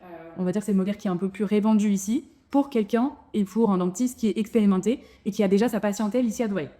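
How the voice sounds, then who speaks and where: A woman's voice: medium pitch, rapid-fire, mellow. A woman in her thirties, a dentist talking casually to camera about her move abroad, with many fillers and restarts. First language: French. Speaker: dentist sharing her expat experience.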